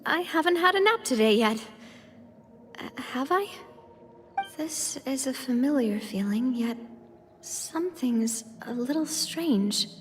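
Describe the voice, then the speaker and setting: High-voiced young noblewoman. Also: animated, slow pace, pauses often, a little hiss or hum. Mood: dazed. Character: determined young noblewoman, polite, brave, grieving leader. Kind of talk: video game voice acting.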